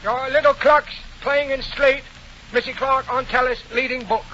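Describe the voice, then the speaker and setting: An actor playing an excitable male houseservant who speaks agitated broken English in a 1940s radio comedy. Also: very high voice, moderate pace. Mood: agitated, protesting. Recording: noisy, muffled 1940 radio broadcast.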